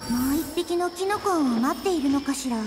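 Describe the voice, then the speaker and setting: A woman playing a gentle, wise little girl deity in an anime game, composed and kindly. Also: high voice, lively intonation, a little fast. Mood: gentle, thoughtful. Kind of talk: scripted anime game dialogue. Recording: music under the voice.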